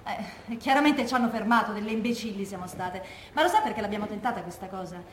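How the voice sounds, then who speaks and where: A woman's voice: mid-range voice, lively intonation, quick pace, close to an everyday voice. An actress playing a frank, wry former partisan woman recounting wartime exploits in a stage monologue. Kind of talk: stage monologue from oral testimony. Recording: roomy, echoey stage recording with noise.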